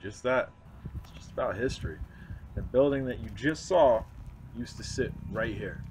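A man narrating his vlog outdoors, earnest and reflective, often pausing and restarting. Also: medium pitch, darkish tone, slight background noise, low-quality recording. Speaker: urban explorer vlogger. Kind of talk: casual walk-and-talk narration. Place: outdoors at a snowy demolition site.

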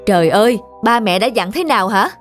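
A woman's voice: mid-range voice, expressive, a little fast, close to an everyday voice. Cartoon mother, woman actor: protective, fretful, urgent scolding, music bed underneath. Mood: worried, scolding. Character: anxious, protective young mother of a little girl. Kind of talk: dubbed cartoon dialogue.

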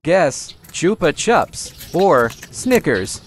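Quiz host for short guessing-game prompts, slow delivery, swooping pitch, very noisy clicky recording. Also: big pitch swings.

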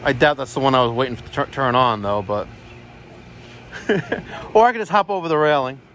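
A man's voice: mid-range voice, animated, normal speed, fairly bright tone. Chatty tradesman vlogging a repair. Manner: casual, chatty, talks to viewers while working. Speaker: HVAC technician and plumber. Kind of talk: first-person service call vlog. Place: outdoors beside AC units at a large house. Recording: background AC and outdoor noise.

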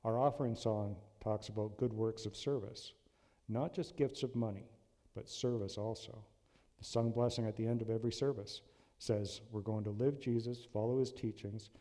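A man's voice: on the low side, fairly even intonation, dark tone. Older man preaching in a reverberant church. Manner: earnest, measured, many restarts and ums. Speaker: lay preacher. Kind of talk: sermon. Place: church sanctuary. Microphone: lectern mic. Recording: long hall reverb in a church.

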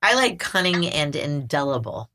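Bright, low-pitched woman in conversation. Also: very animated, moderate pace. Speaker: podcast panelist. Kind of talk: casual literary discussion.

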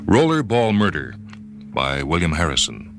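Deep-voiced radio story host. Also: male voice, wildly swooping pitch, moderate pace, a bit bright. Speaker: host and reader of a short story radio program. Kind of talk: scripted dramatic story narration. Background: background music.

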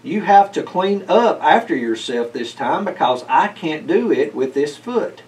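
A man's voice: a little high, big pitch swings, a little slow, darkish tone, recorded from a distance. A man voicing a grumbling, practical old man in a children's storybook read-aloud. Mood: scolding. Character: grumbling, practical old male farmer-like homebody.

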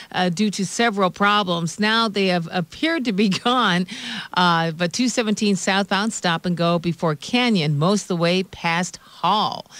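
A woman's voice: on the low side, a bit bright, no room sound. A woman with an American accent giving a live traffic report on the radio.

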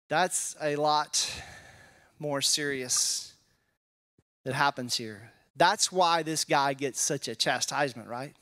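A man in his forties with a Texas accent, teaching a Bible passage in a relaxed, conversational way and often checking in with "right?". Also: fairly high pitch. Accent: Texan. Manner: casual, tags statements with "right?", thinks aloud with fillers. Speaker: pastor. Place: wood-paneled church hall, indoors. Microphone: handheld microphone.

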